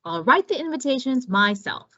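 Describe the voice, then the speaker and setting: Bossy storybook iguana, played by a woman, swooping pitch, emphatic, exasperated, roomy sound. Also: sing-song, normal speed, pushed and bright, full character voice. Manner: emphatic, indignant. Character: bossy, exasperated female iguana doing all the work. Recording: roomy, slightly dull recording.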